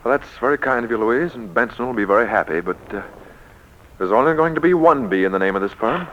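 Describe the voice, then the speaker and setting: An actor playing a flustered, lovelorn businessman in 1940s radio drama style, with hesitant, halting delivery. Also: medium pitch, animated, dull audio, lightly acted. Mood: awkward, resigned. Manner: hesitant, halting when emotional. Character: flustered, lovelorn businessman, impatient boss, adult man. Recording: noisy vintage 1940s radio recording.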